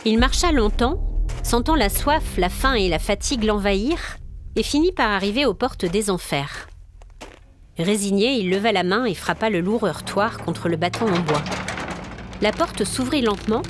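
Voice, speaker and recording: Woman storyteller reading a spooky old legend, medium pitch, music underneath. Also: animated, moderate pace. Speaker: storyteller, narrator. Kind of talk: scripted narration of a spooky folk tale.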